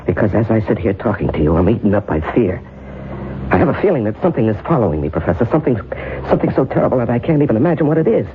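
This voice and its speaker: A man's voice: on the low side, sing-song, very fast. An actor playing a frightened male journalist who believes he is cursed, stammering and pleading in an old radio drama. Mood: panicky. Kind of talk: 1970s radio drama acting. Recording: tinny lo-fi old radio broadcast with hiss.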